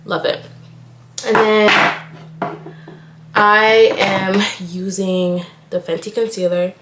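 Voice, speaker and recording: A woman's voice: medium pitch, little pitch movement, slow, a bit bright, slight background noise. A young woman from Haiti, a nursing student, chatting casually while getting ready and rambling with lots of "like". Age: young adult. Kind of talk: casual get-ready-with-me chat. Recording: echoey room.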